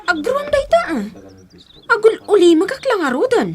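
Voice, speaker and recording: A woman's voice: very high voice, big pitch swings, normal speed. An actress playing an upset, indignant young woman in an Ilocano radio drama, with a Filipino accent, exclaiming and pleading.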